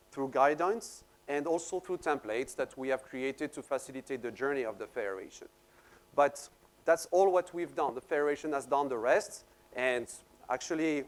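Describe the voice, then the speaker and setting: A man with a French accent, a native French speaker, giving a seminar presentation in an explanatory way and often repeating words as he searches for phrasing. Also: medium pitch. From France.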